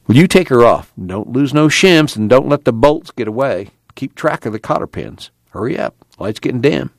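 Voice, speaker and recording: A man's voice: mid-range voice, animated, moderate pace, no room sound, studio quality, voice pushed up, lightly acted. A man voicing a brash, impatient young working man with folksy, blunt, profane rural speech in an audiobook. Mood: instructing. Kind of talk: acted audiobook dialogue.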